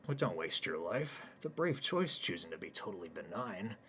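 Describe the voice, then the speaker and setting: A man voicing a condescending male doctor who sneers and belittles his patient loudly. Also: deep voice, very animated, normal speed, a little hiss or hum, exaggerated voice. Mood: mocking.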